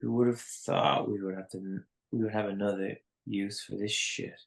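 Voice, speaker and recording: A man's voice: fairly low pitch, fairly even intonation, normal speed, gravelly. An actor playing a shaken man who takes charge of a crisis in a live screenplay reading. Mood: subdued. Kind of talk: live screenplay table read acting.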